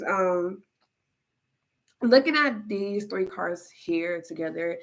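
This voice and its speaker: A woman reads tarot cards in a casual, chatty way, stuffed up from allergies, and keeps checking in with "all right?" and "okay?". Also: on the low side. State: congested. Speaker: tarot reader. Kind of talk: casual tarot reading to camera.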